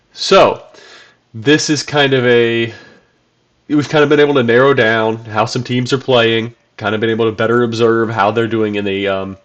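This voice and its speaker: A man hosting his own basketball channel, sounding congested from a cold, talking casually with lots of ums and restarts. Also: on the low side. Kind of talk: casual solo commentary video.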